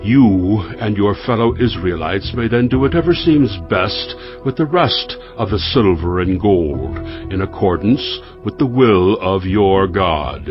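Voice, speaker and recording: Music plays clearly behind a slightly dull recording. A man acts out a regal king in a dramatized scripture reading, low-pitched and stately. He dictates formally and with measured authority. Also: animated. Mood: stately, commanding.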